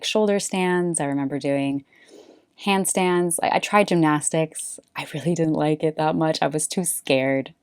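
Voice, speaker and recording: A woman from California who hosts a face yoga podcast, telling her story in a relaxed, chatty way with lots of fillers. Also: low voice, clear, resonant tone, no echo, studio quality. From Bay Area, California. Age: late 20s or older. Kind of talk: casual personal storytelling monologue.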